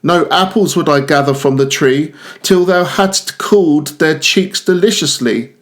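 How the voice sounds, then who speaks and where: A man's voice: on the high side, measured pace, close to an everyday voice. A man voicing a lovestruck young man who rapturously addresses the moon in declaimed verse. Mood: rapturous, ardent. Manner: ardent, declaimed. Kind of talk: poetic monologue in verse recital.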